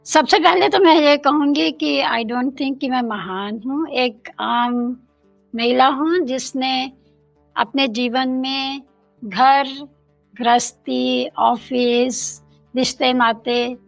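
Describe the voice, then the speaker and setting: An older woman from India, a guest in an interview, speaking in a slow, thoughtful way and mixing in English phrases. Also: high-pitched, vocal fry, dry recording, dull audio, faint music behind.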